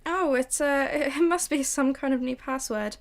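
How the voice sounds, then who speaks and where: A woman's voice: high-pitched, moderate pace, gravelly. A woman playing a chatty, fussing mother in a radio drama. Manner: chatty and fussing, sharp when scolding. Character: fond, flustered suburban mother. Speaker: actor in a student radio drama.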